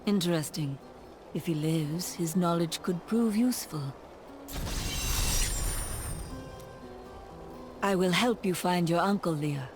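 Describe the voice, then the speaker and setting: An actress playing a stern, composed necromancer in a video game, speaking formally and with measured gravity. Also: female voice, on the low side, lightly acted. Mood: brooding, measured. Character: stern composed female necromancer come to aid the lands. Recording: dramatic game music behind.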